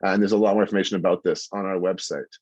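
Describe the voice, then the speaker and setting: A man's voice: sits low, steady tone, rapid-fire, a little mellow, no room sound. An earnest man introduces a speaker over a video call, his words broken by fillers and restarts. His sound is dull and muffled, in a very dry space. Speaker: host. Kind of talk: online lecture introduction.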